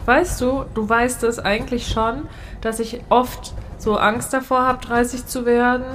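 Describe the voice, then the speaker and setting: A woman's voice: on the high side, little pitch movement, unhurried, fairly bright tone, slight background noise. A woman in her late twenties co-hosting a casual podcast, talking in a chatty, reflective way and giggling often. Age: late 20s. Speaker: podcast co-host. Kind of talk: casual chat.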